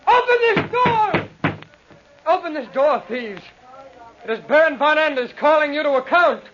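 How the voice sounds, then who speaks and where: A man playing a haunted, hot-tempered young nobleman in an old radio horror drama, theatrical and intense. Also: very high-pitched. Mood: furious. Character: haunted, raging young nobleman obsessed with his lost shadow. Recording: dull, noisy old radio recording.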